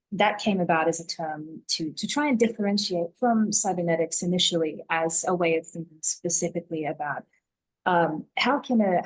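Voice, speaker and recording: Woman, low husky voice, conversational panelist, explains by example. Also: low-quality recording. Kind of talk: informal panel discussion.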